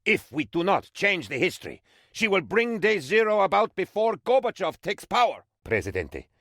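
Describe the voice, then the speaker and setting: Comic game role: sycophantic male aide to a tropical strongman. Exaggerated, highly animated, mid-pitched, close dry studio sound. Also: moderate pace, no room sound, heavily acted. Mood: conspiratorial, dramatic. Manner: theatrical, exaggerated, fawning. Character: excitable, sycophantic male political aide to an island dictator. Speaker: adviser character briefing the player. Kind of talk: comic video game character voice-over.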